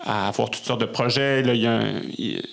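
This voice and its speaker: Male podcast guest, Quebec French accent, gravelly mid-pitched voice, conversational delivery with searching restarts. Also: brisk, fairly bright tone. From Montreal, Quebec. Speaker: podcast guest, musician and conductor. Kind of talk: conversational interview.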